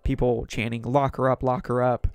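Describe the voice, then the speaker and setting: A man with a sore, hoarse throat hosting a solo podcast, reading news articles aloud and rambling on about politics. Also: mid-range voice, level tone, moderate pace, darkish tone, dry recording. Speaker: podcast host.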